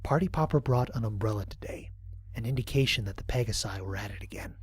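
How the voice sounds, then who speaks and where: A man playing an earnest human space explorer who records mission logs in a measured, reflective way, with a slightly husky voice, in an audio drama. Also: fairly low pitch, dry recording. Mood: hushed, tender. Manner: measured, reflective log-keeping delivery. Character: male human space explorer, earnest, observant, wryly fond. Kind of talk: scripted audio drama mission log narration.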